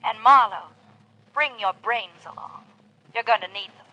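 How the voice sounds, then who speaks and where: A woman's voice: a little high, normal speed, poor audio. An actress with an American accent playing an imperious, impatient young woman, heard through a telephone filter in an old-time radio drama. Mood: impatient, commanding. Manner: brusque, commanding. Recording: thin band-limited telephone sound.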